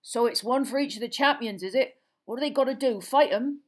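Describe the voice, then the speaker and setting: A woman voicing an excitable, gruff big man with an eager, colloquial way of asking questions, in an audiobook. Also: a little high, brisk, pitched above the performer's own voice, lightly acted. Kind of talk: audiobook character voice.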